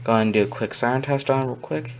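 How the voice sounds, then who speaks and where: Male hobbyist presenter, medium pitch, casual and halting demo delivery, light background noise from a handheld camera. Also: a little mellow. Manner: halting, pausing mid-sentence. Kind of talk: casual unscripted product demonstration. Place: indoor room with wooden shelves. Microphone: handheld camera's built-in mic.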